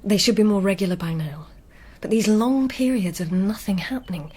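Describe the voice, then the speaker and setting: A woman playing a sensible, caring companion to a court lady in a radio drama, earnest and gently firm. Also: medium pitch, normal speed, natural voice. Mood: concerned.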